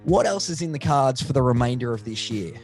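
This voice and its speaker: A man's voice: medium pitch, expressive, brisk, a little mellow, soft background music. A man hosting a casual interview over a video call, upbeat and chatty with stock phrases. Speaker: interview host.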